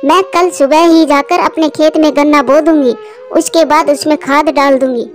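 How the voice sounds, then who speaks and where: A woman's voice: high voice, fairly even intonation, moderate pace, soft background music, natural voice. A woman voicing an earnest, hard-working female cartoon bird who frets aloud in a plaintive manner. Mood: determined. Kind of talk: Hindi cartoon story voice acting.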